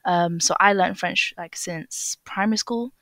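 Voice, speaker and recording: A young English woman with a lowish voice talks casually as a podcast guest. She hesitates and restarts often, padding with fillers. The recording is dry and close. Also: a little slow, fairly bright tone, dry recording. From England. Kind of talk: casual interview chat.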